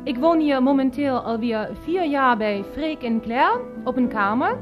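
An earnest young woman lodging with a family, in a radio play. Her voice is high and very slow, halting between phrases, on a slightly dull recording. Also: lively intonation, over music. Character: earnest halting young German woman lodger, twenty-six.

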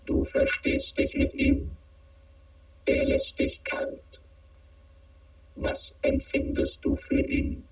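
A man voicing a cold, emotionless alien interrogator in a science fiction radio drama, with flat insistent questions through synthetic processing. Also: very high-pitched, slow pace, long pauses. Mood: cold, probing. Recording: echoing, dull band-limited sound.

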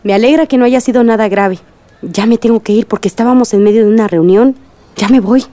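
Actress as calm mom, middle-aged, soft mellow tone, fairly high voice. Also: female voice, fairly quick, close to an everyday voice. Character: Señora Alicia, calm, sensible village mother. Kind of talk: radio drama acting.

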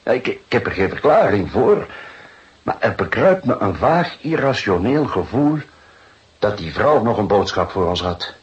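Seasoned, low-voiced detective, dull recording. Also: male voice, sing-song, moderate pace. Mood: pensive, businesslike. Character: seasoned, thoughtful male Dutch police detective. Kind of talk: Dutch radio drama acting.

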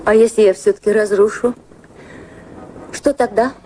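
An actress as a mellow-voiced, troubled well-bred woman. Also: mid-range voice, steady tone, moderate pace, slight background noise, natural voice, rough recording. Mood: anxious, earnest. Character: troubled well-bred woman, guilt-ridden, engaged to be married. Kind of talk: Russian radio drama acting.

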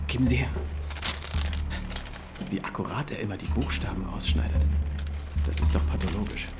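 An actor playing a brisk, inquisitive young newspaper reporter in a radio play. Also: male voice, on the low side, over loud music, close to an everyday voice. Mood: eager, tense. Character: keen, probing nineteen-year-old male newspaper reporter.

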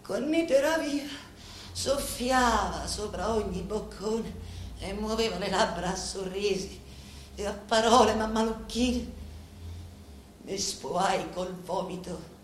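An actress playing a grave Sicilian woman confiding in a mafia boss, in a slow, deliberate theatrical monologue with a Sicilian accent. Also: mid-range voice, lightly acted. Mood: grim, anguished. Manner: slow, deliberate, brooding storytelling. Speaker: stage actress in a radio drama. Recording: roomy echo, noisy background.

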